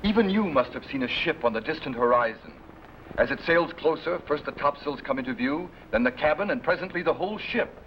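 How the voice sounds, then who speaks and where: A man's voice: a little high, animated, brisk, close to an everyday voice. A man playing a weary, earnest middle-aged sea admiral in a formal, declamatory old-time radio adventure style. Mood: earnest, patient. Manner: formal, declamatory archaic phrasing. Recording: dull, noisy old radio recording.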